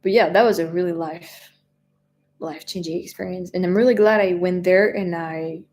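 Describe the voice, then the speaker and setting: A woman's voice: on the low side, steady tone, moderate pace, a little mellow. A woman in her twenties with a French accent, chatting casually on a live stream, rambling with many fillers and restarts. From France. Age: 20s. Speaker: travel vlogger answering live chat.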